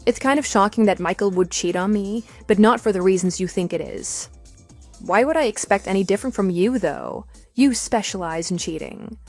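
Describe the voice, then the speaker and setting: A woman's voice: medium pitch, moderate pace, a little mellow, faint music behind, lightly acted. A woman playing a coolly sarcastic wronged wife in a radio drama, speaking in a dry, firm, matter-of-fact way. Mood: dry, unimpressed. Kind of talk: scripted radio drama dialogue.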